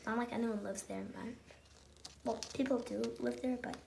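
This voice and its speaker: A woman's voice: on the high side, fairly even intonation, normal speed, creaky, a little mellow, a little hiss or hum. A female co-host casually commenting on a picture book in a musing, questioning way. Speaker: read-aloud co-host.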